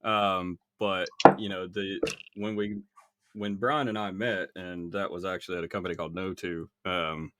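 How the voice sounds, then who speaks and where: A man's voice: low-pitched, little pitch movement, normal speed, heavy background noise. A man co-hosting a casual podcast, blunt and joking as he talks. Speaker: podcast co-host.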